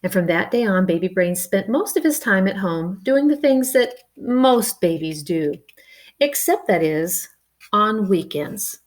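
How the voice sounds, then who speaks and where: Give me a woman reading a picture book to children with expressive, playful delivery. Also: mid-range voice, a little slow. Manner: expressive, with playful spoken asides. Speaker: storybook reader.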